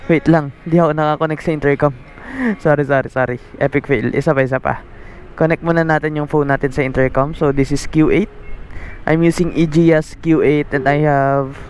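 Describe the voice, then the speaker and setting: A man's voice: a little high, a little mellow. A Filipino man talking casually and informally as a motovlogger showing a device, through a helmet mic. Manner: informal, switches between Tagalog and English. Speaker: motovlogger, host. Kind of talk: casual vlog talk, product demo. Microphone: helmet-mounted mic into action camera. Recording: noisy outdoor motorbike ride, engine and traffic.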